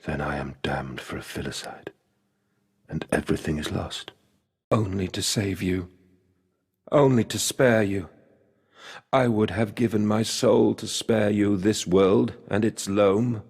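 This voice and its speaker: A tormented father and creator, weighed down by guilt yet grimly resolute. His low voice is very husky and gravelly, delivered mournfully in a long hall reverb, as dark video game drama. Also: a little slow, heavily acted. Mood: anguished.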